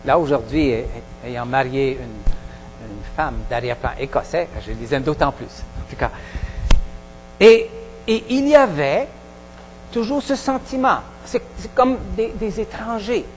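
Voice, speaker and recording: A pastor with a Québécois French accent preaching a sermon in a halting way, with many pauses and restarts. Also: male voice, fairly high pitch, expressive, in a large hall, a little hiss or hum, rough recording. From Quebec. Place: church hall.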